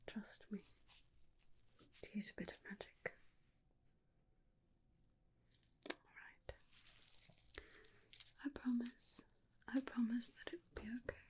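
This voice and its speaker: A tender female vampire, played by a woman, whispers in an ASMR roleplay. She takes her time, speaking very slowly and breathily. Her manner is doting, soothing and reassuring, as if caring for someone dear. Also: mid-range voice, a little mellow, close to an everyday voice. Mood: persuasive, tender.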